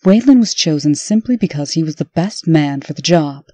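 A woman's voice: low voice, expressive, normal speed, dark tone, no room sound. A woman playing a stern, authoritative princess in a comic dub, firm in manner. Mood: challenging. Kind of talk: comic dub character acting.

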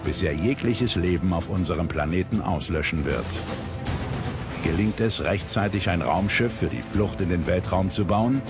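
Deep-voiced male documentary narrator. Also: normal speed, music in the background. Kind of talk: dramatic scripted narration.

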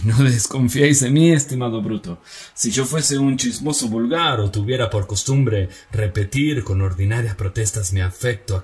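A man's voice: lowish voice, darkish tone, breathy edge. A man in his forties playing Cassius, a scheming, persuasive Roman senator, in an earnest, insistent theatrical recitation that stumbles now and then. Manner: earnest, insistent, slightly halting declamation. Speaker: actor performing a stage monologue. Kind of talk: theatrical radio-drama recitation.

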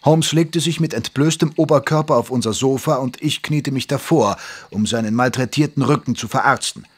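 Male actor as Victorian doctor-narrator for audio drama: ageing, loyal, wry, measured delivery, husky middle register. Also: mid-range voice, close to an everyday voice. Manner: measured, literate storytelling.

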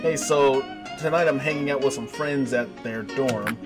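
A man giving excitable, slangy let's-play commentary, exclaiming often and reading game text aloud, with game music behind him. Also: mid-range voice, moderate pace. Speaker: gaming video host.

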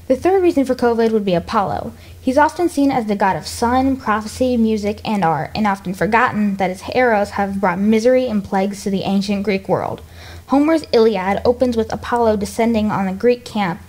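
American woman radio host, kinda high voice, reading scripted on-air bits. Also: darkish tone. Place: radio studio.

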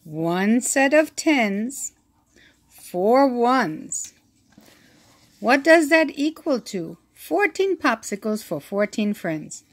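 A woman reading a children's math picture book aloud, counting slowly and asking the listener questions, recorded on a phone held over the book. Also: fairly high pitch, big pitch swings. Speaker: read-aloud teacher.

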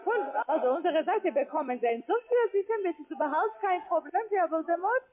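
Really high voiced telesales lady, reading her pitch down a tinny phone. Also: animated, fairly quick, crowd noise. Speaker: call centre sales agent. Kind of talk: scripted phone sales pitch. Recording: muffled tinny phone line.

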